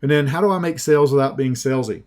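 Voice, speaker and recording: A man with a Southern US accent, speaking as a sales coach in a relaxed, folksy webinar pitch. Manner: slow, folksy, conversational with restarts.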